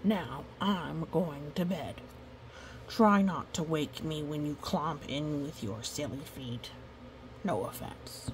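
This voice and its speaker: A woman voicing a grumpy, put-upon male monster from a picture book, slow, deliberate and mildly indignant. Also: sits low, a little hiss or hum, rough recording. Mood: weary.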